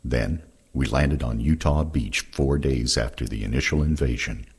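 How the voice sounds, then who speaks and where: A man's voice: extremely low pitch, normal speed, breathy edge. A man reading first-person war memoirs aloud in a plain-spoken, folksy storytelling way. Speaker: reader of veterans' oral histories.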